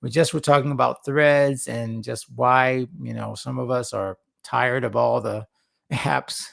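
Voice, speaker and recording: A man with a gravelly, fry-laden voice that is a little hoarse from talking a long while. He talks earnestly to livestream viewers, circling back and repeating words to drive a point home. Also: medium pitch, fairly even intonation, measured pace, fairly bright tone. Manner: earnest, repeats words for emphasis, rambling asides. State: slightly hoarse after a long session. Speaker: host and founder of a faith-focused creative platform. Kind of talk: casual livestream talk to viewers.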